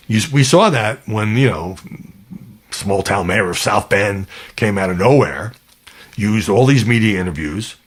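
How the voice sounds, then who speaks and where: A man with a fairly low, husky voice delivers conversational news commentary as a podcast host. He is emphatic and opinionated, dropping personal asides into his account.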